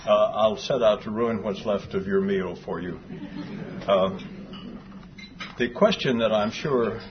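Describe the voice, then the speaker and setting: An older man from Texas giving an after-lunch talk, soft-voiced, with dry humor and many pauses. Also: on the low side. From Fort Worth, Texas. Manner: dry humor, hesitant with fillers. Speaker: guest speaker. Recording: dull lo-fi sound with clear background noise.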